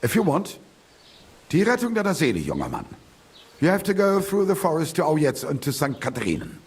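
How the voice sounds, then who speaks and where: An actor playing a grumbling, condescending village merchant in a video game, with a German accent and German phrases slipped in. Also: male voice, fairly high pitch, wildly swooping pitch, brisk, a little hiss or hum. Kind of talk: video game character dialogue.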